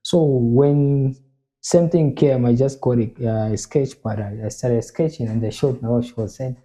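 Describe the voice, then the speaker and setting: A man, a painter, talking in an interview, halting and earnestly repeating his phrases. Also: mid-range voice, mellow, poor audio. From Zambia. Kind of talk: casual interview talk.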